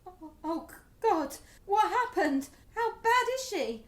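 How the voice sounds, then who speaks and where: A British actress playing an emotional, halting, grief-stricken middle-aged mother in a radio play. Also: very high-pitched, very animated, heavily acted. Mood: shocked, numb. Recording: echoey room.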